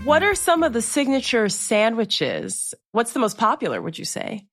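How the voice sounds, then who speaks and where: An American woman hosting a casual interview in a conversational, expressive way. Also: fairly high pitch, moderate pace, over music. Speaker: interviewer, host. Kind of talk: casual interview, reading menu aloud.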